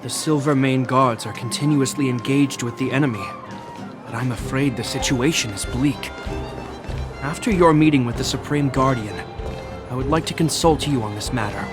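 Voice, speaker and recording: A man's voice: medium pitch, natural voice. Man voicing a formal, dutiful military captain. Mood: grave, formal. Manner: formal, measured, earnest. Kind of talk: video game voice acting. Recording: music behind the voice.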